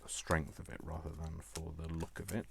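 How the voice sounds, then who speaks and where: A man's voice: a bass voice, monotone, normal speed, gravelly, background noise. A man giving a casual, chatty craft demonstration, thinking aloud and playfully muttering short repeated phrases to himself as he works. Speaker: craft tutorial host.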